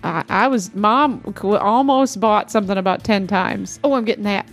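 A woman's voice: mid-range voice, moderate pace, soft background music. A woman chatting casually, adding details and agreeing along as a story is told. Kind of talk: casual chat.

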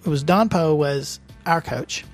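A woman's voice: low-pitched, unhurried, faint music behind. A woman from Texas with a Texan drawl, chatting casually and telling a story with laughs. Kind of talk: casual chat.

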